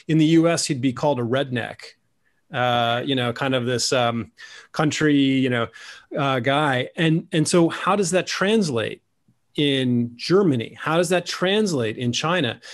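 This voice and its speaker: Man, 40s, animated storyteller, restarts, video call laptop mic. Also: fairly high pitch. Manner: animated, gesturing, many restarts. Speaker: guest author. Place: room at home.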